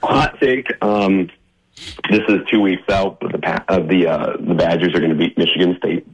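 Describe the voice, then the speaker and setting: A man calling into a sports radio show on the phone, with a husky voice, rambling and halting. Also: low-pitched, fairly even intonation, highs cut off. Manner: rambling, halting, repeats himself. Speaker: caller. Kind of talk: casual call-in chat.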